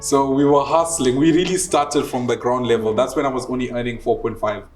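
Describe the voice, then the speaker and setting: Male, twenties, Southern African accent, animated casual storyteller in an interview, faint guitar music behind. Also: medium pitch, fairly quick. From Zimbabwe and South Africa. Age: 20s. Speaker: interviewee telling his relationship story.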